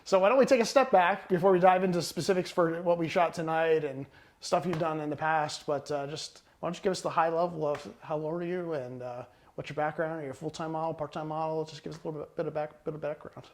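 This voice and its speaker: A man hosting an interview as a photographer, speaking in a relaxed, chatty way with lots of "uh" fillers, indoors at a rented home. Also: on the high side, quick pace. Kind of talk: casual sit-down interview.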